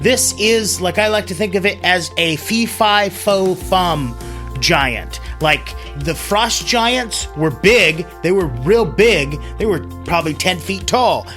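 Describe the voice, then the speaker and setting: A male storyteller with a bright, fairly high voice and highly animated delivery. He narrates with dramatic phrasing and slips into conversational commentary as host. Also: slow pace, faint music behind. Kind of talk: storytelling narration with casual asides.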